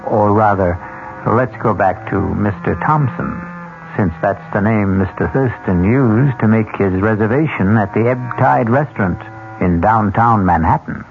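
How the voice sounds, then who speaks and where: Male host, low and creaky, suspenseful vintage radio narration, thin narrow-band sound over music. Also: a little slow. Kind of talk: dramatic scripted narration, 1970s radio.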